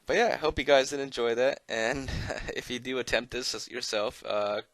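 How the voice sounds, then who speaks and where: A man's voice: medium pitch, normal speed, a bit bright, dry recording. A man giving casual, unscripted gameplay commentary as a video host, talking in an easygoing, rambling, conversational way. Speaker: host of a gaming video channel.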